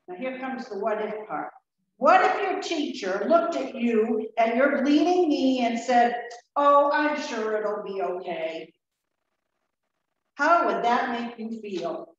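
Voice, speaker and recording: High-voiced woman telling a children's story. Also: slight background noise. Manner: slow, gentle questioning of a child. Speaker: children's message leader. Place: large church sanctuary. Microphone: lectern mic from a far camera. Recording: echoey, dull church room recording from afar.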